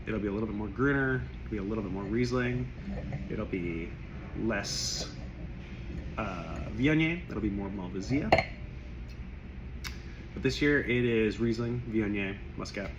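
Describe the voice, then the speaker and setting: Hoarse man rambling through a wine tasting. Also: fairly low pitch, muffled recording, noisy background. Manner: rambling, effusive, stops to clear his throat. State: losing his voice. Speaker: wine shop owner tasting wines. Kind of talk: casual tasting video.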